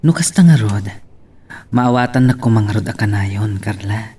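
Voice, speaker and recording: A man playing a tender, hesitant lover in an Ilocano love drama, husky, with an Ilocano accent. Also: on the low side, unhurried, mellow. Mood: reluctant, gentle. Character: hesitant, tender, secretly torn male lover. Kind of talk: Ilocano radio-style love drama acting.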